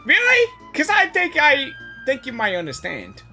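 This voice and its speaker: A woman's voice: sits high, huge pitch swings, normal speed, music in the background. A woman giving casual reaction commentary on a video game, giggly and full of exclamations. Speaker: gaming reaction video creator.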